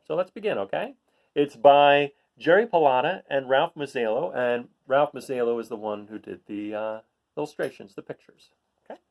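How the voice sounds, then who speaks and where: Male read-aloud host for a children's book, slightly high voice, very lively intonation, explains things with casual asides. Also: gravelly. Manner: explanatory, addressing young listeners.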